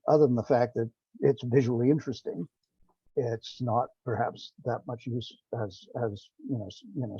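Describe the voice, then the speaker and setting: A man giving an informal technical presentation on a teleconference, speaking in a halting, rambling way. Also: mid-range voice, dark tone, slightly rough. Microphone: remote teleconference call. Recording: dull, roomy conference-call audio.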